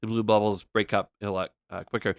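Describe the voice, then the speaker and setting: Low-voiced man chatting about retro games. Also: fairly quick, creaky, no room sound. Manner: relaxed, explanatory, frequent fillers. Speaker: podcast co-host.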